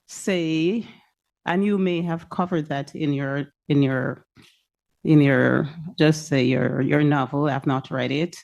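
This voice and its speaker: Jamaican woman in the audience, low warm voice, rambles and restarts. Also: creaky. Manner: rambling, restarts, searches for words. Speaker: audience member asking a question. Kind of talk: question from the floor.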